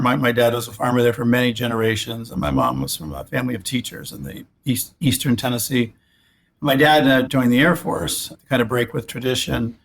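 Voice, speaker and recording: A man's voice: mid-range voice, creaky, slight background noise. A man in his sixties, a spine surgeon raised in Alaska, talking through his past in a rambling way with many restarts. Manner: rambling with frequent restarts and repeated words. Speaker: guest, orthopedic spine surgeon. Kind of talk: reflective interview conversation.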